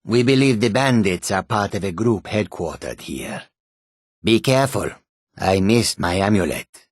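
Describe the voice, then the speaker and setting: Deep, husky, self-assured catfolk adventurer. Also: male voice, animated, a little slow, no echo, clean studio sound. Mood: calm, courteous. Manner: self-assured, formal, speaks of himself in third person. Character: proud, courteous male catfolk mage and warrior. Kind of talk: video game character dialogue.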